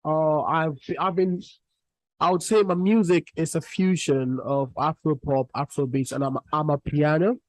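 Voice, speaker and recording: Young guest musician from Cameroon, higher-pitched male voice, loose rambling answers, dry close sound. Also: measured pace, dry recording, low-quality recording. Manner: relaxed, rambling with many restarts and fillers. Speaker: Afrobeats artist, guest. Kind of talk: casual interview answers.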